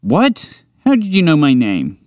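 A man voicing a big, gentle, slow-witted moose in a bedtime story, hesitant and bashful. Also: fairly high pitch, wildly swooping pitch, no echo, clean studio sound, pitched above the performer's own voice. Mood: unsure. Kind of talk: storybook character voice.

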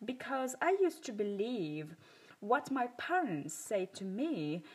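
Expressive Moroccan-accented woman podcaster. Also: on the high side, takes it slowly, breathy edge, poor audio. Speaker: self-improvement podcast host. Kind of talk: personal storytelling monologue.

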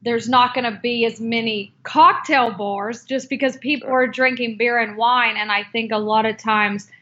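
A woman's voice: a little high, fairly even intonation, normal speed, bright, forceful tone. A woman answering interview questions about cocktails and her book, speaking hesitantly with many fillers and restarts. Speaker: guest, cocktail book author. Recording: dull lo-fi audio.

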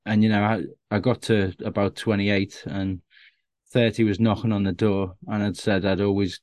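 A low-voiced man in his thirties, speaking with a Scouse accent worn down by years away from Liverpool. He rambles and restarts as he chats, making fun of himself. The recording is close and dry, with no room sound. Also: little pitch movement, normal speed, a little mellow, no echo. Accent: Liverpool (Scouse), softened. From Liverpool, England. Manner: self-deprecating, rambling with many restarts. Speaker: stand-up comedian guest. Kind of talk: casual interview chat.

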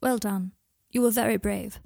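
Woman acting proud wizard father, storybook, wry, refined, affectionate, defensive, husky, creaky. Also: medium pitch, fairly even intonation, moderate pace, warm tone, no room sound, clean studio sound. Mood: tender, wry. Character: proud, fragile wizard father, drawling, tender with his son.

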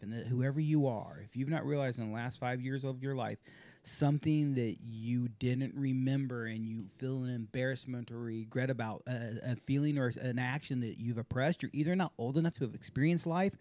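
A man's voice: medium pitch, normal speed, dark tone, no room sound. A man in his forties hosting a podcast, talking frankly and reflectively with a lot of swearing. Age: 40s. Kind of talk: candid podcast conversation.

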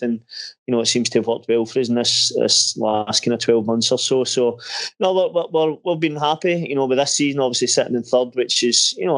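Flat-toned Scottish football coach. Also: male voice, mid-range voice. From Scotland. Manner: hesitant, many restarts and fillers. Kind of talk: casual interview answers.

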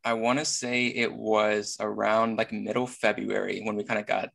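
Low, flat-voiced young man from Iceland. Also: normal speed, gravelly. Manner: relaxed, hesitant, many fillers. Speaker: fitness coach, guest. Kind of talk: casual interview chat.

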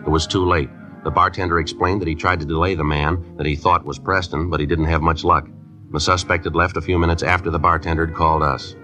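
A man plays a police detective in a 1950s radio crime drama. His voice is extremely deep, and he speaks in short, matter-of-fact sentences. Music plays prominently behind his deadpan delivery. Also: fast talker, close to an everyday voice. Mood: flat, matter-of-fact. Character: terse, businesslike male police detective narrating his case. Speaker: narrator and lead detective.

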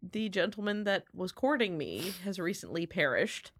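A woman's voice: fairly low pitch, very animated, moderate pace, dry recording. A woman voicing a formal, polite young woman who answers with stiff courtesy.

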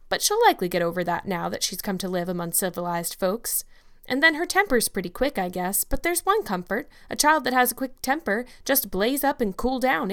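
A woman voicing a hearty, outspoken older village woman in a storybook reading, with brisk, folksy talk. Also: a little high, a bit bright.

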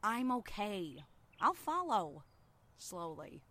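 A woman's voice: mid-range voice, sing-song, takes it slowly, bright, forceful tone, full character voice. A woman playing a stubborn, grumpy survivor in a zombie audio drama, snappy and sarcastic. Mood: sullen.

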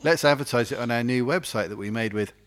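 A man's voice: medium pitch, fast talker. A man co-hosting a casual podcast, joking and laid-back, often trailing off into murmurs, recorded on a cheap microphone. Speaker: podcast co-host. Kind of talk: casual chat. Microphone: cheap USB microphone.

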